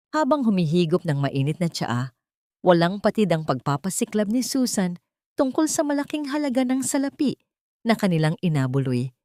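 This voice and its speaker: A woman narrating a scripted dramatic story in a measured, solemn manner. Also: on the low side, darkish tone, no echo, clean studio sound.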